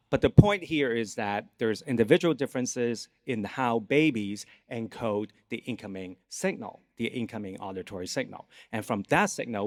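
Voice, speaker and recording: A man with a Cantonese accent, a native Cantonese speaker, giving an academic lecture and explaining things as he checks in with his audience. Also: fairly low pitch. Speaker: guest lecturer, neuroscience professor. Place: conference lecture hall.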